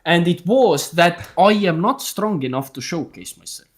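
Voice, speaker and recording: A man's voice: a little high, lively intonation, moderate pace. A man, a guest on a group video call, talking bluntly and swearing freely as he rambles through stories. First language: Estonian. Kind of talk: casual chat on a group call.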